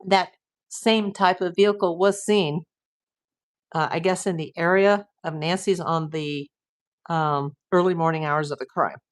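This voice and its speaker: A woman's voice: lowish voice, steady tone, a little slow. A middle-aged woman hosting a casual livestream, chatting and reading viewer comments while losing her voice. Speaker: livestream host.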